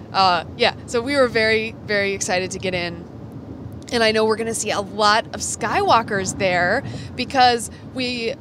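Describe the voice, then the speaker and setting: Woman podcaster w a highish voice, excited casual chat from a moving car, obvious road noise. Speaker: podcast co-host. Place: inside a moving car, while driving. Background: vehicle and road noise.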